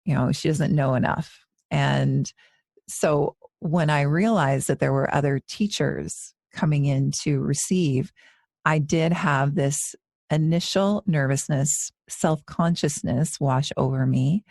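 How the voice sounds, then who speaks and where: A woman's voice: sits low, takes it slowly, creaky, darkish tone, dry recording, studio quality. A woman in her forties, a yoga teacher co-hosting a podcast, talking in an earnest, reflective way with frequent restarts. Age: 40s. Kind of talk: casual podcast conversation.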